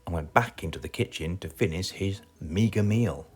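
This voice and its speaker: A man's voice: sits low, moderate pace, soft background music. A man with a British accent reading a children's story aloud as a storyteller.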